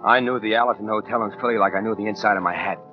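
A man's voice: fairly low pitch, steady tone, rapid-fire, lightly acted. An actor in a 1940s radio crime drama playing a cold, self-justifying American killer, brooding as he narrates and clipped when he talks. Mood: brooding narration. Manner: brooding first-person narration with hesitant, clipped dialogue. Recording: muffled 1940s radio broadcast, faint music.

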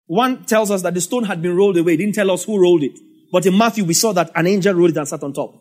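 A man's voice: sits high, rapid-fire, darkish tone. A man with a Nigerian English accent preaching a sermon as a pastor, telling the story in a conversational way with asides.

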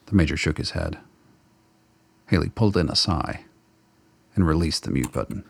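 A man with a gravelly, husky voice reading scripted narration for a radio play. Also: very deep voice, expressive, moderate pace, dry recording.

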